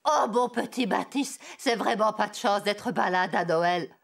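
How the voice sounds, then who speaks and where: A woman voicing a kindly old cartoon grandmother who is sick with a cold, her voice creaky. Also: medium pitch, a little slow. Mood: sorry, affectionate. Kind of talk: children's cartoon voice acting.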